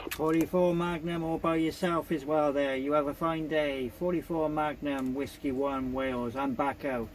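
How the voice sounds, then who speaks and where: Higher-voiced man on noisy CB radio. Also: level tone, moderate pace. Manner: polite, repeating phrases. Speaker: CB radio operator. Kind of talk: CB radio call with repeated call signs. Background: radio noise.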